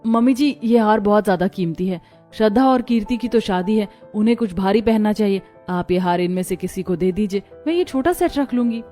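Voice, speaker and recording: A woman's voice: medium pitch, a little fast, mellow, natural voice. A woman playing a dutiful, polite young daughter-in-law in a family story drama. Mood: polite pleading. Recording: music behind the voice.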